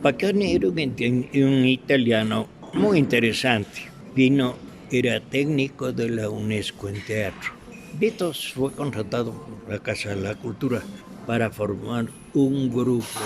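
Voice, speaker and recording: Older male interviewee, Ecuadorian accent, retired radio actor. Gravelly, halting delivery, unhurried, over clear ambient noise. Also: medium pitch. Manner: halting, searching for words. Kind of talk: reminiscing in an interview.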